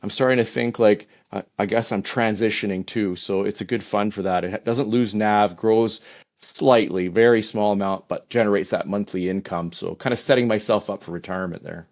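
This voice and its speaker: Casting: Canadian man, fifties, low voice, easygoing, halting guest answers. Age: 50s. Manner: relaxed, hesitant, many "uh" and restarts. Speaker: podcast guest. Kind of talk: casual interview answers.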